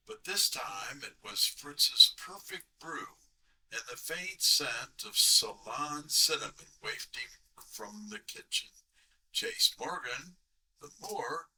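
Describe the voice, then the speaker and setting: A man performs a wisecracking detective sidekick from an audio mystery drama. He speaks very slowly and deliberately, with a wry edge and a medium-pitched voice. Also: pushed and bright, full character voice, rough recording. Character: brisk, wisecracking male private detective, Nero Wolfe's sidekick. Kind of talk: full-cast audio drama with first-person narration.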